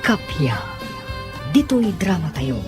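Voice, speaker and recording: A man's voice: sits high, sing-song, slow. A man with a Filipino accent announcing a radio drama in a dramatic, scripted style. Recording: music bed behind the voice.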